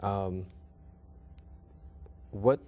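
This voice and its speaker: A man hosting an interview show in a conversational way, phrasing his questions haltingly with many small acknowledgements. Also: low voice, phone-quality sound. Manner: hesitant phrasing, frequent backchannels. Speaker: talk show host.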